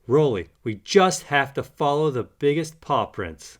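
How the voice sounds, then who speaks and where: A man's voice: fairly high pitch, lively intonation, measured pace, pitched above the performer's own voice. A man voicing an eager, earnest young male puppy hero in a children's storybook, upbeat and encouraging. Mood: encouraging, realizing.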